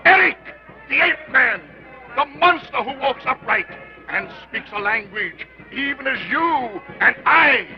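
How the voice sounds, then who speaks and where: A brash carnival barker. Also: male voice, lively intonation, measured pace, heavily acted. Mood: hawking. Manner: hawking, booming announcements. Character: loud male carnival barker, brash and hawking. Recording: lo-fi old film soundtrack with music.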